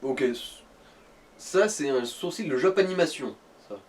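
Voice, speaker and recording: A man's voice: a little high, lively intonation, unhurried. A man in casual gaming chat, co-commentating on a let's play.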